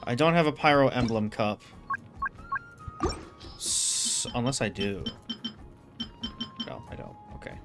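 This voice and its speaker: A man's voice: mid-range voice, animated, slow, gravelly, pauses often, over music. A man giving casual, rambling game commentary, thinking aloud as he streams.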